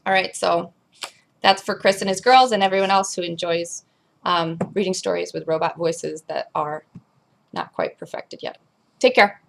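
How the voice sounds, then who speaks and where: A woman's voice: on the low side, normal speed, creaky. A woman speaking casually as the host of a reading series. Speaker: reader hosting a literature series. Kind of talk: casual chat to camera.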